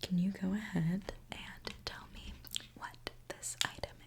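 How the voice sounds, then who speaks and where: A woman whispering a gentle ASMR roleplay as a reassuring repair mechanic, talking softly and directly to the listener. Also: medium pitch, steady tone, vocal fry, breathy edge. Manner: soft, gentle, reassuring, unhurried. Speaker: ASMR performer playing a robot repair mechanic.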